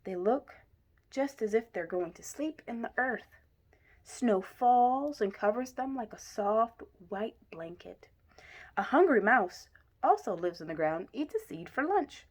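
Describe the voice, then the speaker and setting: A woman reading a children's picture book aloud in an expressive way, with deliberate emphasis. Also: on the high side. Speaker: story reader.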